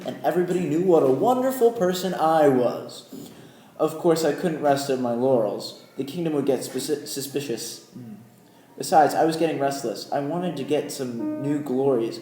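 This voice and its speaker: A man's voice: a little high, dark tone, lightly acted. A man playing a smug, conversational narrator telling his own story in a radio play. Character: self-satisfied Yankee narrator recalling his adventures. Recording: roomy, faint background noise.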